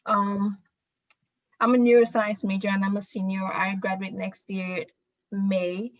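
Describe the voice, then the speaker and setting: Flat-voiced Nigerian woman, easygoing. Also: mid-range voice, measured pace, muffled recording. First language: Yoruba. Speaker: student panelist. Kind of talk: self-introduction on a video call.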